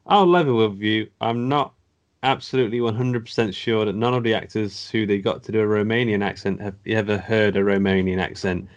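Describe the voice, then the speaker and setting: A man's voice: on the low side, steady tone, fairly quick, lo-fi sound. A man co-hosting a podcast, reading out reviews with a wry, laughing delivery. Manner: wry, laughing at what he reads. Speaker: podcast co-host.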